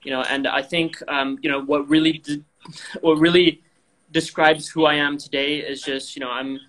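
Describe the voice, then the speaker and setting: A man's voice: fairly high pitch, level tone, normal speed, a bit bright, poor audio. A young man who grew up in Indonesia, speaking frankly over a video call as an interview guest, rambling with many fillers and restarts. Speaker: guest athlete.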